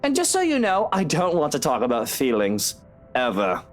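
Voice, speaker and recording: A man's voice: fairly high pitch, huge pitch swings, normal speed, soft background music. A man voicing a sardonic, brooding cheating husband in a visual novel game, with a sarcastic, dismissive manner. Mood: dry sarcasm. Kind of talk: dramatic visual novel voice acting.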